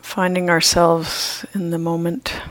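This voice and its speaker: A woman leading a guided meditation as a teacher, speaking gently and unhurriedly with long pauses. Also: on the low side, monotone.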